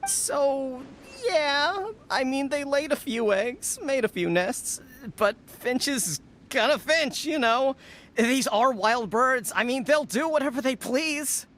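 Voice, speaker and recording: A man's voice: very high voice, animated, slow pace, a bit bright, exaggerated voice. An actor playing a sheepish, evasive male villager in a video game, hesitant and whiny. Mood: defensive. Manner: hesitant, whiny excuse-making.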